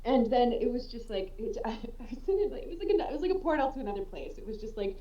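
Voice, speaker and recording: A woman, a guest voice actor, chatting casually and haltingly as she searches for words. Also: a little high, very animated, mellow, lo-fi sound. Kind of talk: casual livestream chat. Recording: distant mic with faint background noise.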